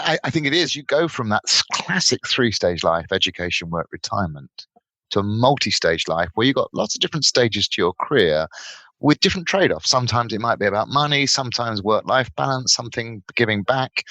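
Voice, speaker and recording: A man's voice: fairly low pitch, very animated, brisk, bright, forceful tone, rough recording. A middle-aged man with a British accent explaining ideas as an expert guest in a panel discussion, reflectively and with examples. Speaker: economist and author, panel guest.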